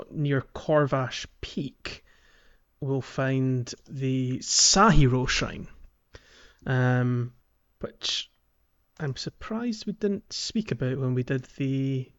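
A man hosting a podcast, talking through a video game in a casual, halting way as he thinks aloud. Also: mid-range voice, highs cut off. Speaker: podcast host. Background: music.

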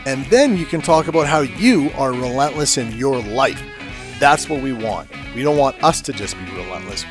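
A Canadian man in his fifties, speaking casually and conversationally as a podcast host. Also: medium pitch. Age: 50s. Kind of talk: casual interview chat.

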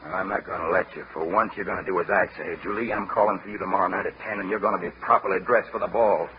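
An actor playing an earnest, exasperated young gentleman suitor in a vintage radio drama. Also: deep voice, fairly even intonation, fast talker, natural voice. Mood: firm. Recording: muffled, noisy old radio broadcast.

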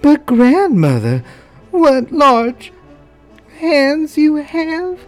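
A storyteller voicing an innocent little girl in a high, wide-eyed, anxious tone. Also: huge pitch swings, very slow, a little mellow, faint music behind, exaggerated voice. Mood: wondering.

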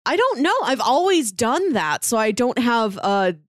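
Woman with lively pitch, close dry sound. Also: measured pace, no room sound. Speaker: podcast co-host. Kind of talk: casual chat.

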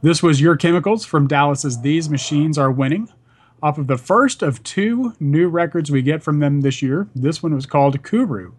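A man's voice: a little high, moderate pace, husky. A young man hosting a music radio podcast, introducing songs in a casual, rambling way and laughing at his own stumbles. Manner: self-deprecating, rambling, stumbles over words.

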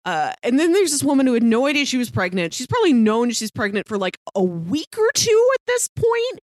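A woman's voice: on the high side, sing-song, fairly quick, darkish tone, no room sound. Woman podcast co-host, dry close sound. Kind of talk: casual TV show discussion.

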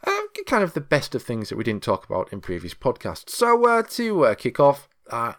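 A man's voice: medium pitch, fairly bright tone. A man presenting a podcast in a casual, chatty manner as its host.

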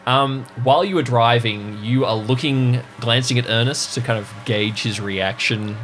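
A man narrating a tabletop role-playing game as game master, in a measured, descriptive way. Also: medium pitch, fairly bright tone, soft background music.

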